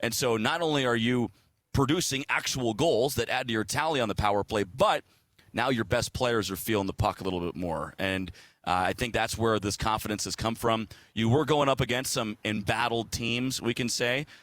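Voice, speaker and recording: A man's voice: medium pitch, moderate pace, a bit bright, no echo. A man talking as a guest sports broadcaster, explaining hockey analysis in a casual, conversational way. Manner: explanatory, casual, checks in with "right?".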